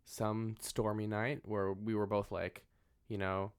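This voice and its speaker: Clear-voiced man in casual conversation. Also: lowish voice, level tone, takes it slowly. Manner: informal, anecdotal, mild swearing. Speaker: podcast co-host. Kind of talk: casual chat about films.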